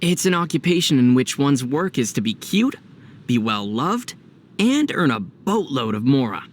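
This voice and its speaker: A man's voice: medium pitch, very animated, normal speed, a little hiss or hum, lightly acted. A young man playing an easygoing, wry traveler hero in a video game, with a dry conversational manner. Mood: amused, explaining. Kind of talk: video game character dialogue.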